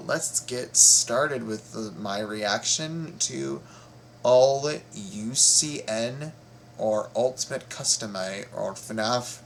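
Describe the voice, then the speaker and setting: A man hosting a casual reaction video, talking in a halting, playful way and often repeating his words. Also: medium pitch, fairly bright tone, slight background noise.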